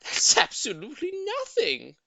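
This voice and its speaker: A man voicing a sweet, gushing middle-aged lady who dotes on her pet, with drawn-out cooing words, in an audiobook. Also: very high voice, huge pitch swings, vocal fry, bright, forceful tone, dry recording, voice pushed up, exaggerated voice. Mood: wistful, fretting. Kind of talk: audiobook character voice.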